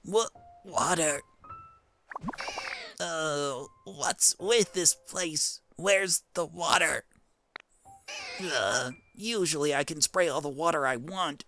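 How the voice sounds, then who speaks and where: A man's voice: a little high, takes it slowly, pauses often, pushed and bright, pitched below the performer's own voice, full character voice. A man voicing a small young turtle creature in a cartoon game, earnest, friendly and naive, with a simple, boyish delivery. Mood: weak, parched. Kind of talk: cartoon game character dub. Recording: music behind the voice.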